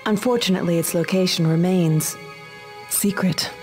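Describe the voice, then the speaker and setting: An actress as a cool, low-voiced female spymaster. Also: moderate pace, darkish tone, soft background music, close to an everyday voice. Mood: cool, measured. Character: cool, businesslike female spymaster, guarded and composed. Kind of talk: scripted game dialogue, briefing.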